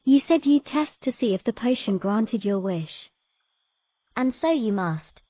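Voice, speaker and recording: A woman playing a patient, gently commanding mermaid in a romantic fantasy audio drama, giving measured, encouraging instructions. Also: fairly high pitch, lively intonation, no room sound, muffled recording. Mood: coaxing.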